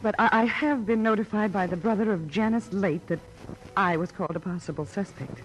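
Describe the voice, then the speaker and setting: An actress playing a guarded, wounded widow in an old radio drama, speaking with hesitant, restrained earnestness. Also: female voice, medium pitch, brisk, close to an everyday voice, low-quality recording. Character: plain, estranged widow under suspicion, guarded and wounded. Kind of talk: 1950s radio drama acting. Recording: old radio recording, faint noise.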